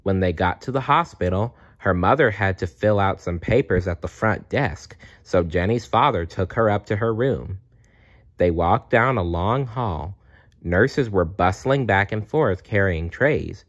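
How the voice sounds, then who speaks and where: A man's voice: sits low, expressive, unhurried. A man reading a children's storybook aloud as narrator, on a dull, lo-fi recording. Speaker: storybook reader.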